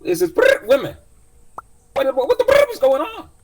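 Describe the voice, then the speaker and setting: A man voicing a panicky male AI companion with a shrill, frantic voice. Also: wildly swooping pitch, normal speed, full character voice. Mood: panicked.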